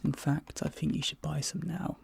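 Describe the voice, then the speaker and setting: A man speaking softly and breathily in an ASMR dentist role play, hesitant and often slipping into whispers. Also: a little high, level tone, dry recording. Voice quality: breathy, soft. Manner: hesitant, halting, drifting into whispers.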